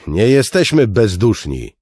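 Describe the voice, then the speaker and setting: A man's voice: lowish voice, big pitch swings, a little slow, a little mellow, no echo, clean studio sound, pitched above the performer's own voice. A man voicing a firm, weary city guard captain in an audiobook, insistent and exasperated.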